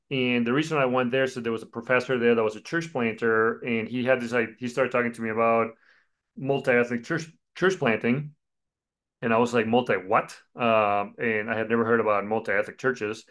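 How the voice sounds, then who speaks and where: A man's voice: mid-range voice, a bit bright, clear, resonant tone. A man in his forties who grew up in Colombia, a pastor telling his story in a podcast interview, with many fillers. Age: 40s.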